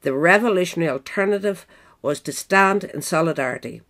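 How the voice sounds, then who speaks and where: A woman reading a scripted history essay aloud in a measured, formal manner. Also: on the low side, expressive, a bit bright. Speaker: audiobook reader.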